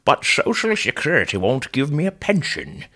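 Casting: male narrator doing a worn-out old superhero, strong but wheezy voice, lively and bright, dry wit. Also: medium pitch, normal speed, voice pushed up, heavily acted. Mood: weary. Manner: weary, dry and sardonic. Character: old stooped weary male superhero, wry.

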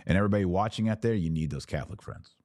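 Deep-voiced man, informal livestream host. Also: racing, darkish tone, no room sound, studio quality. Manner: earnest, exhorting, poses questions and answers them. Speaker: podcast host answering live viewers. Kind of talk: informal livestream advice monologue.

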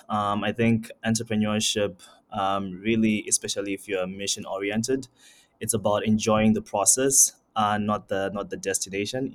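Low-voiced young man, hesitant and halting. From Zimbabwe. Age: young adult. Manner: hesitant, many ums and uhs, repeats words. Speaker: guest, startup founder. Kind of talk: casual interview answers.

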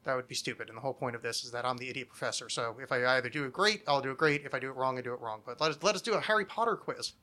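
A man's voice: medium pitch, racing, hardly any pauses, pushed and bright. An American man hosting a podcast with casual, rambling comedic chat, self-deprecating and freely swearing. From United States. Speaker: podcast host.

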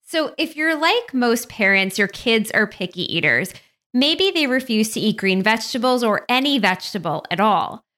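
Woman hosting a podcast, high voice. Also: fairly bright tone. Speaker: podcast host. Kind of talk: scripted podcast narration and interview.

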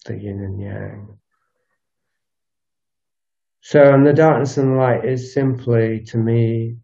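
A man recording a solo spoken audio log on a walk, musing in a halting, unscripted way and circling back over his phrases. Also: mid-range voice, vocal fry, warm tone. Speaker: podcast host. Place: outdoors, recorded while walking. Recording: dull lo-fi outdoor recording.

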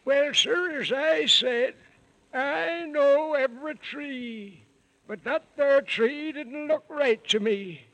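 An actor in a 1930s radio serial playing a crotchety, folksy little old hermit who talks like a rustic old-timer. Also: male voice, very high-pitched, slow, a bit bright, no echo, heavily acted, low-quality recording. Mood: folksy, secretive.

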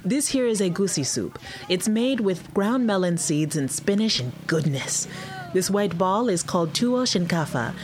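Actress as a low-voiced, welcoming mother. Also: lively intonation, normal speed, a little mellow, slight background noise, close to an everyday voice. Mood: welcoming, explanatory. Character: welcoming Nigerian woman, mother serving traditional food. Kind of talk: audio drama acting.

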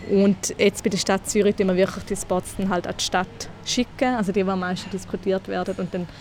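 A woman's voice: medium pitch, steady tone, brisk, darkish tone, slight background noise. A woman in her twenties with a St. Gallen Swiss German accent, chatting casually as a guest and laughing often. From St. Gallen, Switzerland. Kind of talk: casual conversation.

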